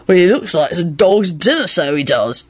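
A woman's voice: on the low side, sing-song, normal speed, a little mellow, husky. Woman doing a slurring drunk young guy. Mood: tipsy. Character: drunk young man slurring, cheerful and rude. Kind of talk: storybook character voice.

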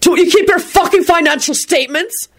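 A gruff middle-aged father in an audiobook, played by a woman reader, blunt and easily cross. The delivery is animated, impatient and impassive by turns. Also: sits low, brisk. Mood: shouting.

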